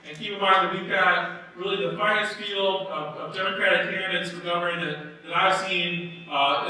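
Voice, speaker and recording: A young man moderates a candidate forum, running through the rules in an informal, halting way with plenty of fillers. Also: high voice, pushed and bright. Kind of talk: announcements and forum hosting. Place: large hall. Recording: echoey hall, mic heard from a distance.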